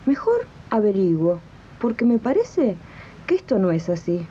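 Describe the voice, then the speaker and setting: Dull old tape of an animated Argentine housemaid, acted by a woman. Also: mid-range voice, unhurried. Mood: brooding, doubtful. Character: indignant, determined housemaid who makes jam on the side. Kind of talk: radio drama dialogue and narration. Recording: dull, noisy late-1980s radio recording.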